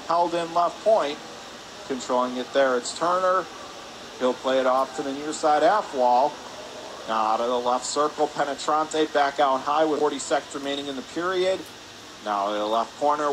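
A man doing live radio play-by-play hockey commentary in rapid, descriptive calls. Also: fairly high pitch. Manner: rapid descriptive calls, excited on scoring chances. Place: press area above rink in an indoor arena. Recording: noisy ice arena, steady background din.

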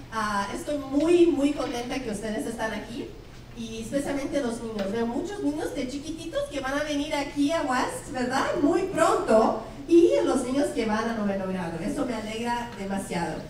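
A woman's voice: fairly high pitch, moderate pace, darkish tone, slight background noise. Brazilian-accented woman in an echoey hall. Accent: Brazilian Portuguese. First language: Portuguese. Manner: chatty, playful, asks the audience questions. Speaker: school principal. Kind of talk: welcome speech to parents. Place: large school cafeteria hall. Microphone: handheld microphone. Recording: echoey hall, distant camera mic.

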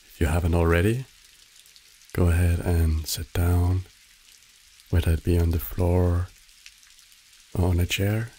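A man narrating a guided meditation in a soothing, measured way, giving short instructions with long pauses. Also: deep voice, level tone, mellow, slight background noise, poor audio.

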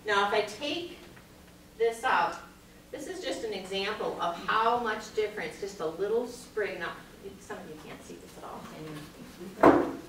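A woman's voice: medium pitch, animated, unhurried. A middle-aged woman leads an informal home decor class in a large meeting room. She talks in a chatty, practical way with plenty of ums. She is recorded from a camera at the back, so her voice sounds distant and very echoey, with the audience heard around her. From Minnesota. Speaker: master gardener presenter. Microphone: far camera at the back of the room. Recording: echoey room, far from mic, audience noise.

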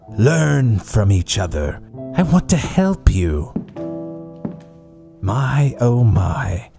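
A man's voice: mid-range voice, a little mellow. A man playing a menacing, smug doctor villain in an audio horror drama, pompous and theatrical.

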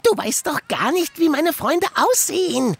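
Impatient little chipmunk guy for a kids audio play, high raspy voice, slow and swoopy. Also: sing-song, heavily acted. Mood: explaining. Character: small impatient bossy talking male chipmunk.

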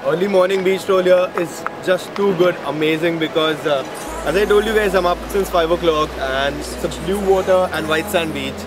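A man's voice: high voice, normal speed, over music. A young man in his twenties with an Indian English accent, chatting to viewers in a casual travel vlog, filmed outdoors on a handheld camera. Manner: chatty, addresses viewers as guys, frequent uh fillers. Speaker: travel vlogger. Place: outdoors at a beach campsite. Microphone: camera mic, handheld selfie framing.

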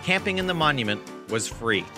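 A man's voice: medium pitch, big pitch swings, a little fast, music in the background. A man narrating a travel news feature in scripted voice-over, with wry, dry humor. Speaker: travel show host and narrator.

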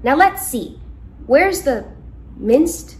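A brave young storybook boy, acted by a woman, cheerful and eager to help. The voice is high and swings widely in pitch. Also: very animated, very slow, darkish tone, a little hiss or hum, heavily acted.

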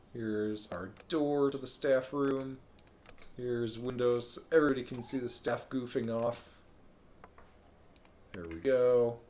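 Slow-talking man streaming a game. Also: medium pitch. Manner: relaxed, thinks aloud with frequent ums. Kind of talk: live let's-play commentary.

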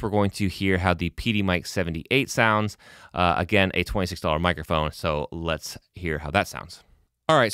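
A man's voice: deep voice, smooth. A man in his thirties with a nasal voice, chatting casually to camera about gear in a small home studio, close to the mic, with frequent fillers. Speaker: video host testing microphones. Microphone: studio microphones close in front.

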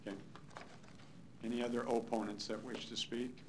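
A man's voice: mid-range voice, level tone, normal speed. A man chairing a public hearing in a council chamber, moderating in short procedural phrases. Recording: clear background noise, public meeting room.

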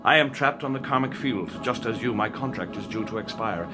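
An actor playing a scheming, devilish mad scientist in a comic audio drama, lecturing in a grandiose, deliberate manner. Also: male voice, fairly low pitch, music in the background, close to an everyday voice.

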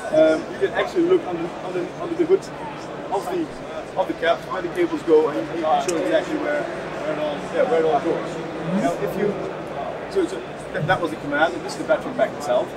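A man's voice: fairly high pitch, sing-song. A man in his thirties demonstrating a product at a trade stand in a large exhibition hall, often restarting his words. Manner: halting, many repeated word restarts. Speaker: company representative. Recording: loud exhibition hall noise.

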